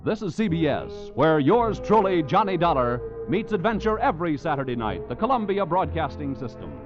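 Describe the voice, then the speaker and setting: A man's voice: on the high side, big pitch swings, fairly quick. A man announcing programmes in a polished 1940s radio promo style. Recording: dull old radio broadcast, music behind.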